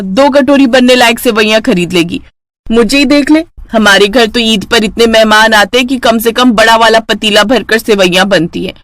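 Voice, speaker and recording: A woman's voice: fairly high pitch, a bit bright, no room sound, close to an everyday voice. A woman voicing a haughty, boastful rich elder sister who bosses people around in a storybook tale. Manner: boastful, ordering, dismissive.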